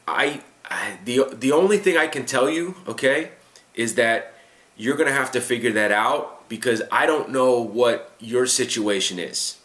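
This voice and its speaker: A man's voice: lowish voice, a bit bright. A man hosting an informal advice Q&A, explaining things step by step in an emphatic, instructive way and often checking in with the listener. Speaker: host of a career advice Q&A show. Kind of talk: informal unscripted advice talk. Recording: echoey, roomy sound.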